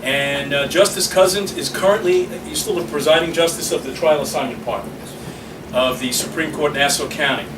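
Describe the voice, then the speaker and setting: A man's voice: fairly high pitch, normal speed. A man, speaking as chapter president and introducing a debate to an audience, joking between fillers. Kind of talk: public speaking at an event. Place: small meeting room. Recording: echoey room, far from mic, noisy.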